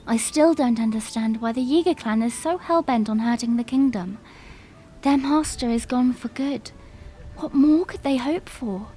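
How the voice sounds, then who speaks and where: A woman's voice: sits high, mellow, a little hiss or hum, lightly acted. A woman playing an earnest, dutiful young princess with formal phrasing in a fan audio drama.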